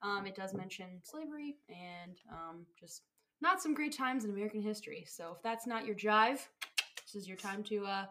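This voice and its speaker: A young woman co-hosting a chatty podcast while hungover. She tells things in animated, rambling bursts, packing her sentences with fillers. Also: mid-range voice, moderate pace, slight background noise. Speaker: podcast co-host. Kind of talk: casual chat.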